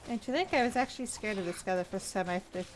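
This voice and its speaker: A woman's voice: mid-range voice, fast, slight background noise. A woman game streamer giving casual, playful commentary, talking to the characters as she plays.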